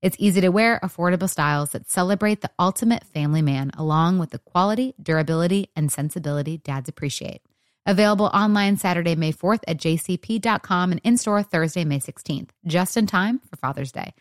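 A woman's voice: on the low side, brisk, no echo, studio quality. A woman announcer giving an upbeat, polished scripted ad read.

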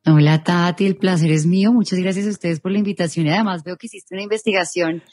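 A woman's voice: lowish voice, fairly even intonation, quick pace, a little mellow, no room sound. Woman, thirties, Colombian Spanish accent, journalist guest, reflective, dry close sound. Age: 30s. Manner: thoughtful, reflective, rephrasing as she goes. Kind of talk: interview conversation.

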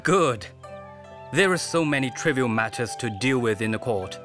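An actor playing a gracious, regal Chinese emperor in a radio drama, speaking in a measured way. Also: male voice, medium pitch, animated, close to an everyday voice. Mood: gracious, pleased. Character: stately, gracious Chinese emperor with grand ambitions. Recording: music behind the voice.

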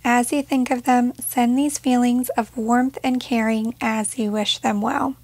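A woman leading a guided meditation in a gentle, measured way, leaving pauses between short phrases. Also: on the high side, fairly even intonation, dry recording.

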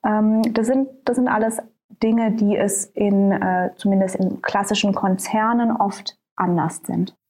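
A woman's voice: mid-range voice, fairly even intonation, measured pace, darkish tone, poor audio. A woman being interviewed as an author, thinking aloud with frequent hesitations and fillers. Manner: thoughtful, many hesitations and fillers. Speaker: author and guest. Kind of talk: conversational podcast interview.

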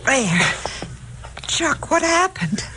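An urgent, fussing young sidekick in a radio drama. Her voice is high and very animated. The old recording is clearly noisy. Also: drawn-out words, full character voice. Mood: alarmed, caring. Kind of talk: 1950s radio drama acting.

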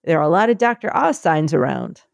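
A woman chatting casually, telling a story and acting out quotes in a higher voice. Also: a little fast, vocal fry, no room sound. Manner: animated, acts out quotes in higher voice.

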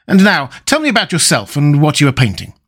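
A man reading a classic novel aloud, voicing a callous, vain young gentleman in an affected, theatrical manner. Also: a little high, wildly swooping pitch, fast talker, pitched above the performer's own voice, full character voice. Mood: breezy, flippant.

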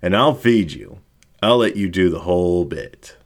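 Man playing a low-voiced, laid-back flirty dingo. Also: expressive, unhurried, full character voice. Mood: teasing. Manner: casual, direct, teasing.

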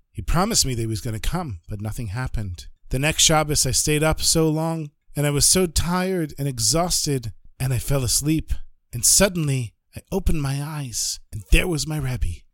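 A man plays a calm, heartfelt disciple recounting his past in an even, earnest voice. The voice sits a touch higher than usual, close and dry. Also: normal speed, no room sound, close to an everyday voice.

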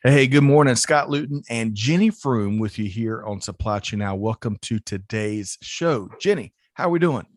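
A man's voice: medium pitch, lively intonation, moderate pace, few pauses. A man hosting a podcast interview, chatty and upbeat, often restarting his sentences. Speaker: podcast host. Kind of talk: casual interview chat.

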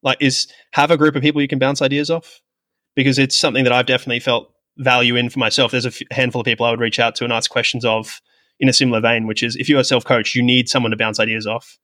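Male podcast guest: brisk, bright delivery, reflective and self-deprecating, conversational. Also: medium pitch, level tone. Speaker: podcast guest, sports technology professional with medical and coaching background. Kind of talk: conversational interview, explaining own experience.

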